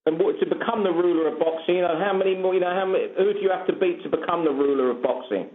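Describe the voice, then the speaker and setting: A British man asks questions as a reporter, speaking rapidly in a fairly high voice. He is heard over a thin, echoing telephone connection. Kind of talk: asking questions on a conference call. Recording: echoey, thin phone line.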